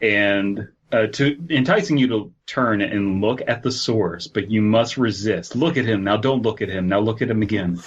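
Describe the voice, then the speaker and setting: A man's voice: fairly low pitch, moderate pace, few pauses, slightly rough. Slightly muffled man, crude podcast banter. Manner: irreverent, crude riffing. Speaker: podcast co-host. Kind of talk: casual comedic chat with read-aloud horror story.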